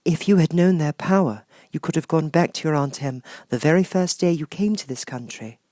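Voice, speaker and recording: A man voicing a kindly young good witch in a storybook, gentle, gracious and measured. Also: fairly high pitch, dry recording, clean studio sound, pitched above the performer's own voice, close to an everyday voice. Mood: kindly, assured.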